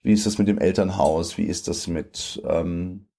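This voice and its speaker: A man's voice: low-pitched, steady tone, normal speed, gravelly, mellow. A man in his late twenties from Germany, a poet talking things over in an interview, thoughtful and searching, with frequent fillers and restarts. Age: late 20s.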